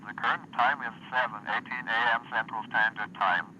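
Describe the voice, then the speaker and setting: A synthesized male computer voice reading an automated weather broadcast in an even, clipped, word-by-word delivery, off a lo-fi radio recording. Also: mid-range voice, moderate pace. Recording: dull lo-fi radio recording with faint hiss.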